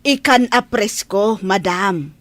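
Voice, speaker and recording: A woman's voice: mid-range voice, expressive, slow, a bit bright, no echo. A woman with a Filipino accent playing a polite, coaxing fish vendor in a radio drama.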